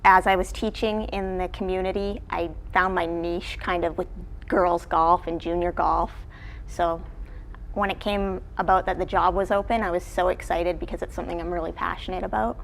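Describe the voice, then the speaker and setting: A woman's voice: lowish voice, steady tone, moderate pace, creaky, a little hiss or hum, rough recording. A woman from Canada, a college golf coach, chatting casually as an interview guest in a studio. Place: television studio.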